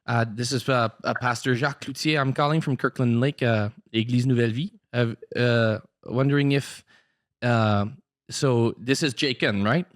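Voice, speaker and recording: A man putting on a halting French-Canadian pastor. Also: mid-range voice, steady tone, dry recording, studio quality, natural voice. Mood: hesitant. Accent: Québécois French. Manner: halting, full of uh and um. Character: fake French-Canadian pastor, hesitant, apologetic.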